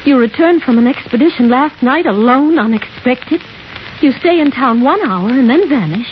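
An actress playing an anxious, insistent young fiancée, urgent and questioning, in a 1950s radio drama. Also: female voice, high-pitched, lively intonation, normal speed. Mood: pressing. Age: young adult. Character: insistent, anxious fiancée in her early twenties. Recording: dull, very noisy 1950s radio recording.

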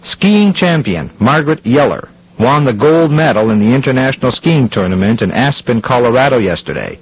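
A man playing a sober radio news reader, speaking in a formal, measured announcer delivery. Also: mid-range voice, big pitch swings, slightly rough. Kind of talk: scripted radio news bulletin. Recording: noisy radio broadcast sound.

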